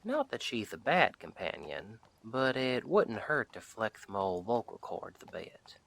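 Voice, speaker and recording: A man playing a friendly wandering Western sheriff in an audio roleplay, chatting to the listener in a folksy, wry, unhurried way. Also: fairly low pitch, very animated, vocal fry, a bit bright, heavily acted. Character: friendly wandering Western sheriff, easygoing drifter, dryly humorous.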